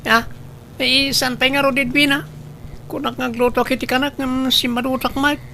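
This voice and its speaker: A friendly neighbour in a comedy drama, played by a woman, high and bright with some fry. She has an Ilocano Filipino accent and is recorded over faint ambient noise. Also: level tone, normal speed. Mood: chatty. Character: friendly female neighbour, reluctant to cook.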